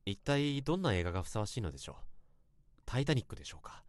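An actor playing an earnest young office worker who narrates a past infatuation in a radio drama, with polite, literary phrasing. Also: male voice, medium pitch, very animated, fast, no room sound, studio quality, lightly acted. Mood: earnest, confessional. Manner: polite, formal, literary storytelling.

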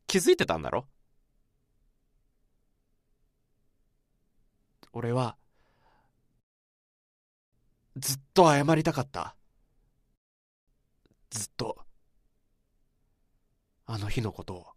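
A man acting in a radio drama as a drunk, guilt-ridden young man who speaks haltingly and repeats himself in anguish. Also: medium pitch, very animated, no room sound, studio quality. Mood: guilty, confessional. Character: drunk, guilt-ridden man confessing to his old friend.

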